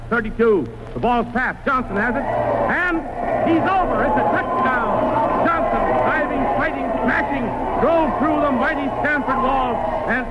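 A man giving dramatic, urgent live play-by-play as a sports announcer in 1920s radio style. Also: very high-pitched. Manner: dramatic, urgent blow-by-blow delivery. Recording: noisy, muffled old radio recording.

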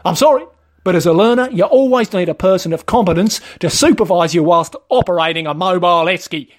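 A man's voice: high-pitched, a little fast, pitched above the performer's own voice. A man voicing a polite, exasperated British man in a comic story, speaking in a prim, wordy, formal way. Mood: alarmed. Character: polite, put-upon Englishman, prim and exasperated.

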